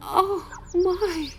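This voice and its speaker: A woman's voice: very high voice, exaggerated voice. A woman playing a distraught, pleading middle-aged mother in an audio drama, her delivery emotional and faltering. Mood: shocked, fearful.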